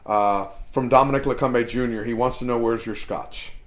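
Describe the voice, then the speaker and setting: A man's voice: mid-range voice, fairly even intonation. A man in his forties hosting a live streamed interview, chatty and teasing. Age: 40s. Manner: chatty and teasing, quick to laugh. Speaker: host.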